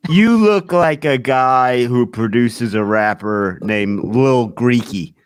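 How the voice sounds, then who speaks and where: A man's voice: mid-range voice, slow pace, clean tone, no echo, clean studio sound. A man hosting a comedy podcast, talking casually with an irreverent, foul-mouthed streak. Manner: profane, irreverent. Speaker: podcast host.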